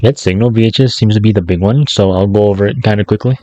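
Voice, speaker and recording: A man's voice: on the low side, quick pace, dark tone, dry recording, highs cut off. A man narrating a casual scripted YouTube commentary in a sarcastic, slangy, profane way. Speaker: YouTube video essayist.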